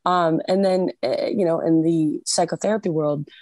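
A singer chatting as an interview guest, animated and laughing often, with many restarts. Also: female voice, sits low, moderate pace, no echo, studio quality. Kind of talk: casual interview chat.

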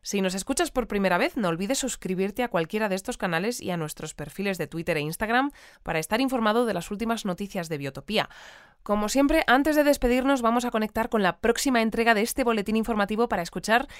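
An actress playing a formal, polished newsreader delivering a scripted bulletin in an audio drama. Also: female voice, medium pitch, fast talker, clean tone, no echo, studio quality, close to an everyday voice. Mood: polished, upbeat. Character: polished woman newsreader of a fictional community bulletin. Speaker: news presenter in an audio drama. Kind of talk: scripted news bulletin narration. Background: faint music.

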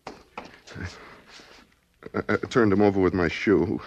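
A man's voice: low voice, fairly even intonation, a little slow, lo-fi sound. An actor playing a desperate, scheming man on the run in a 1940s radio drama, speaking in terse, hard-boiled phrases. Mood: dazed, shaken. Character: desperate, guilt-dazed male fugitive, hard-boiled and scheming. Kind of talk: 1940s radio drama acting, first-person noir narration. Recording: noisy old 1940s radio recording.

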